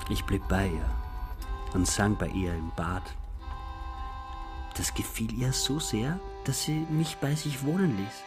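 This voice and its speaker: A man's voice: lowish voice, music in the background. An actor playing a melancholy taxi driver of about forty, recounting his life reflectively in a radio drama monologue. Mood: wistful. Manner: measured, reflective delivery.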